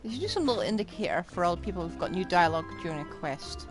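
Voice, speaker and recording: A woman's voice: fairly low pitch, very animated, racing, over music. A woman live-streaming a game, giving casual commentary with reactive, wry asides.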